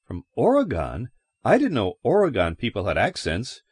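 A man's voice: a little high, huge pitch swings, moderate pace, breathy edge, dry recording, studio quality, pitched above the performer's own voice, heavily acted. A man voicing a friendly, blunt young man who is curious about a stranger.

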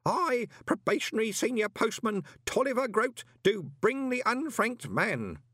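A man voicing an obsequious, anxious old postman in an audiobook, deferential and folksy, with a hoarse edge. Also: high-pitched, wildly swooping pitch, moderate pace, a bit bright, no room sound, clean studio sound, voice pushed up, heavily acted. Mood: formal, eager. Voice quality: hoarse, whispery when urgent. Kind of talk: audiobook character voice.

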